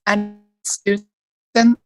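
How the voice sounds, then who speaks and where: A woman playing a male Latin teacher in a table read, lecturing on myths in a measured, theatrical way with rhetorical questions. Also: medium pitch, little pitch movement, a little mellow, soft background music. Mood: dramatic lecturing.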